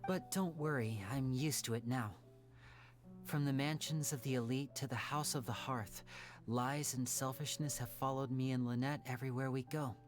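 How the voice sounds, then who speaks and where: An actor playing a young male magician with secrets, speaking in a soft, reflective, measured game-dialogue style. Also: mid-range voice, steady tone, lightly acted. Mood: gentle, sombre. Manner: soft-spoken, reflective, measured theatrical delivery. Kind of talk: scripted dramatic game dialogue. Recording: music playing under the voice.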